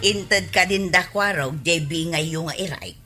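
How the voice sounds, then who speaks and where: A woman's voice: on the low side, a little slow, over music, lightly acted. An actress playing a complaining, meddlesome village woman in an Ilocano radio comedy drama, speaking with an Ilocano accent. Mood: disapproving.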